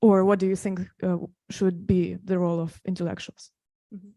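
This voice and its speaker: A woman's voice: on the low side, level tone, moderate pace, warm tone, no room sound. A woman whose first language is Russian, asking a question from the audience, hesitant with many fillers.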